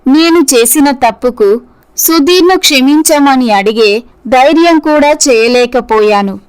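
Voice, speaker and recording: A woman's voice: sits high, unhurried, smooth, rough recording. A woman voicing a remorseful young woman in an earnest, apologetic way for a scripted moral story. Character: remorseful young woman confessing her past mistake.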